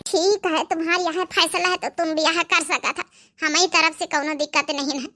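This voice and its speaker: A woman's voice: very high-pitched, fairly even intonation, fairly quick, pushed and bright. A woman voicing a devoted, tender young sister in a homemade cartoon family drama, with an Awadhi accent. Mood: resigned, firm. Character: devoted young sister raising her nephew, tender and self-sacrificing. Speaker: storyteller of a cartoon channel.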